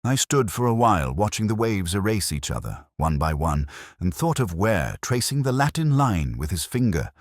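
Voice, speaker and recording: A man playing a brooding, world-weary police inspector, narrating a case in measured, solemn, literary first person. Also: deep voice, big pitch swings, dry recording, studio quality.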